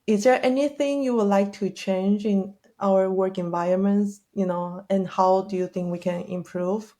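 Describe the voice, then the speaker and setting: A woman with a medium-pitched voice co-hosts a podcast. She speaks conversationally, putting questions and comments to the guest. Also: fairly even intonation, normal speed, dark tone. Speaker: podcast co-host interviewing. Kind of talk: conversational interviewing.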